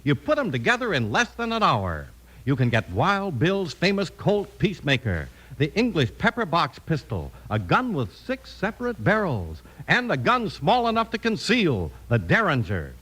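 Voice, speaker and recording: Male 1950s radio announcer, lively swooping intonation, bright forceful tone, emphatic, polished script reading. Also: on the high side, normal speed, husky, poor audio. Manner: emphatic salesman-like delivery. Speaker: announcer and narrator.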